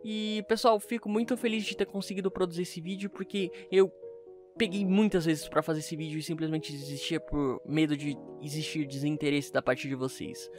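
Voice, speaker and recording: A man's voice: a little high, steady tone, normal speed, a little mellow. A man with a Brazilian accent giving a casual, chatty voice-over review to his online viewers. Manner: informal, chatty. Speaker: YouTube creator and fan dubber. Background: music.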